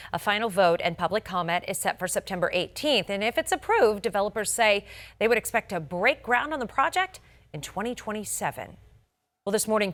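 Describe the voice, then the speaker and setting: A woman's voice: medium pitch, fairly bright tone. A woman with an American accent anchoring a scripted local TV newscast in a polished way, stressing key words. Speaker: television news anchor.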